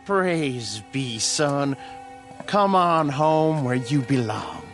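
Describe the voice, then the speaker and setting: An actor playing a plain, gentle, doubtful country father in a radio drama. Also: mid-range voice, big pitch swings, drawn-out words, soft background music, exaggerated voice. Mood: relieved.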